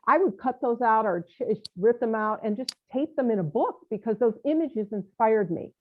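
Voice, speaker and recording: A woman's voice: on the high side, expressive, normal speed. Woman, interview host, enthusiastic, filler-heavy, tinny narrow sound. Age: older. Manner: enthusiastic, encouraging, many "you know" fillers. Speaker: podcast host. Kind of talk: casual interview chat. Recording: tinny phone-like line.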